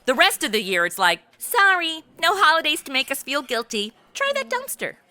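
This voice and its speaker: Sassy young sidekick gal, sarcastic, voice swoops all over, comedy radio play. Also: female voice, high voice, very animated, moderate pace, pushed and bright, no room sound, full character voice. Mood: snarky. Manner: sassy, snarky, dry asides. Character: sarcastic modern young woman as reluctant superhero sidekick Robin. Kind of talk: comedy audio drama.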